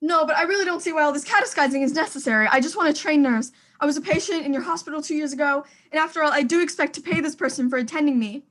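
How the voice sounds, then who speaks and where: A woman's voice: sits high, very fast, hardly any pauses, fairly bright tone. A woman playing a panicking, demanding, self-pitying invalid wife in an old-time radio thriller, fretful and stammering. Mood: fretful, indignant.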